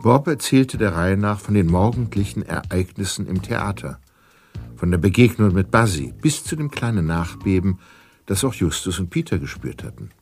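A man's voice: sits low, animated, normal speed, darkish tone, faint music behind. A man narrating a scripted mystery audio drama as a composed storyteller.

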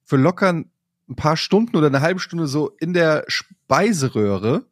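Podcast co-host, man, husky, dry close sound, wry storyteller. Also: on the high side, very animated, measured pace, no room sound. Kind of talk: casual chat.

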